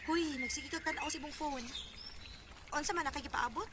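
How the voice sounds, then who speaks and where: A woman's voice: high-pitched, fairly quick, very noisy, lightly acted. A woman acting a lovelorn young woman with a secret crush in a radio drama. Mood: teasing.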